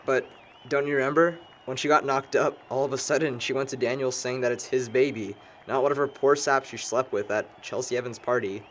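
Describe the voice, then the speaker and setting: Young American male actor as a wordy, dryly sarcastic friend for audio drama, middle-pitched, faint noise on the track. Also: steady tone, brisk, fairly bright tone, close to an everyday voice. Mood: matter-of-fact. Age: young adult. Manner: long-winded, dryly sarcastic. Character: wordy, know-it-all young man correcting his friends. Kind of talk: conversational audio drama acting.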